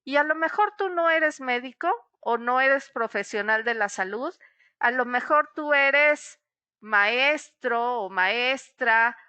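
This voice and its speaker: A middle-aged woman speaks as a psychologist leading an online session, talking directly to the listener and pausing on "eh" as she explains. Her voice sits a little high and rings bright and crisp. The recording is dry and close. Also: no echo, lo-fi sound. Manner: warm-toned explanatory, frequent "eh" fillers. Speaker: psychologist giving a webinar. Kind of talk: webinar talk addressed to the listener.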